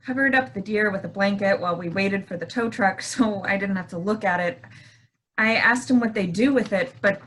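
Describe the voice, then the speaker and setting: A woman in a staged play reading as a shaken, soft-hearted wife, speaking haltingly and repeating words. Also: medium pitch, lightly acted, rough recording. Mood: earnest.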